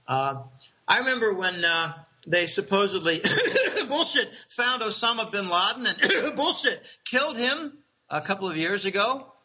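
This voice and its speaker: High, bright-voiced man telling rambling stories. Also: big pitch swings, a little slow. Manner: rambling, chuckling, with many restarts. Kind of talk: casual conspiratorial storytelling.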